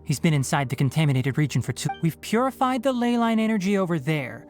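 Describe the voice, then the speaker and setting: Lively, clever young forest ranger. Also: male voice, a little high, quick pace, faint music behind. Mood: matter-of-fact. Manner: matter-of-fact, instructive, precise. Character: youthful male forest ranger, brisk, clever, caring. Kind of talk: video game character dialogue.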